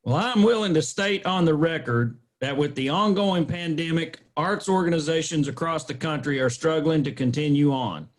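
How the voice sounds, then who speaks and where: A man's voice: a little high, moderate pace, few pauses, close to an everyday voice. A man playing an evasive, pompous small-town lawyer in a comic radio drama, talking in wordy legal phrases. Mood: formal, smug. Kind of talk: comic old-time radio drama acting.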